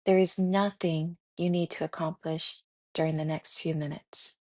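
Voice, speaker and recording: A woman leading a guided meditation in a gentle, soothing, unhurried way with pauses. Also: sits low, fairly even intonation, no echo.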